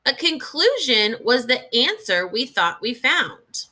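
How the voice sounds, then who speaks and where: Animated woman reading aloud to children. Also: a little high, slow pace. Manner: instructive, expressive, addressing young children. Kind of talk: read-aloud lesson with think-aloud questions.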